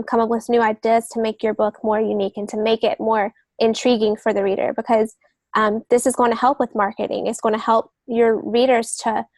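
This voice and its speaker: A woman's voice: fairly high pitch, no echo. A woman speaking as a guest on a writing podcast, giving conversational advice in an earnest, explanatory way. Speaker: guest novelist and literary agent.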